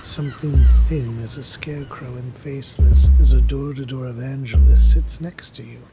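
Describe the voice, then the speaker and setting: A man's voice: mid-range voice, expressive, normal speed, loud music behind the voice. A man narrating scripted fiction in the second person, in a dull lo-fi recording.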